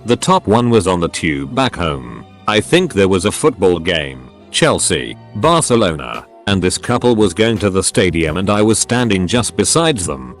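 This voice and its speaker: A man with a fairly low voice reads online posts aloud, edit notes included, his pitch rising and falling a lot. He stays even and uninvolved throughout. Soft music plays quietly behind him. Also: moderate pace, few pauses. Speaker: narrator. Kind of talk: reading Reddit posts aloud.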